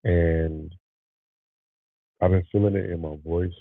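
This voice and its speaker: A deep-voiced man with a gravelly, husky voice chats easily with his live viewers. He sounds tired and stuffed up with a cold. The sound is dry and narrow, like a phone line. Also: slow, dry recording. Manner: relaxed, talks to live viewers. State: nasally congested. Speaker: podcast host. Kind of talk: casual livestream chat. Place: home studio.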